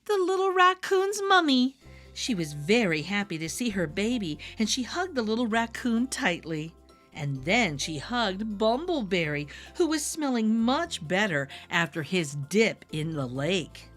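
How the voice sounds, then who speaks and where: A woman reading a children's story aloud with expressive, animated narration, addressing young listeners. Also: mid-range voice, unhurried, soft background music.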